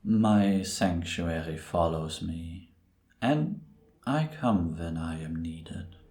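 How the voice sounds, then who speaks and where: A man playing a mysterious, serene priest with a measured, solemn, cryptic delivery in a cinematic audio drama. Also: low-pitched, animated, a little mellow. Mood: calm, cryptic. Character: mysterious, serene male priest of a wandering sanctuary. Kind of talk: cinematic audio roleplay acting. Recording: echoing sanctuary ambience with noise.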